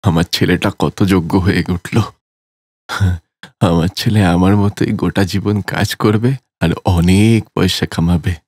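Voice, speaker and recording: A man's voice: deep voice, fairly even intonation, measured pace, a little mellow, husky, no echo. A man voicing a proud, money-minded father in a dramatic cartoon story. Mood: proud, self-satisfied. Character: proud, money-minded older father pressing his son.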